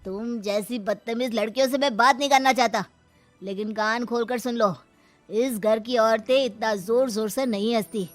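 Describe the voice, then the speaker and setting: A woman's voice: a little high, moderate pace, faint music behind, close to an everyday voice. Woman voicing a stern, domineering male in-law. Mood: scornful. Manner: scolding, commanding. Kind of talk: Hindi moral story character voice.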